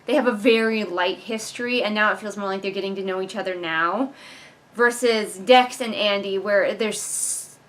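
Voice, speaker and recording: A woman's voice: mid-range voice, normal speed, low-quality recording. A woman hosting a casual read-along, reviewing a book with exasperated, sarcastic commentary. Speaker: book reviewer and video host.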